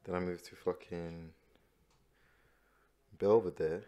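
A man's voice: sits low, steady tone, slow, warm tone, highs cut off. A young man, born in Nigeria and raised in America and Nigeria, co-hosting a casual podcast chat. He stammers, repeats words and laughs often. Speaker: podcast co-host.

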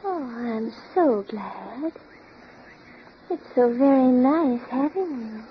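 A woman's voice: high-pitched, expressive, takes it slowly. A woman playing a childlike, wistful farm wife with a dreamy delivery in a 1930s radio drama. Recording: muffled, noisy 1930s radio recording.

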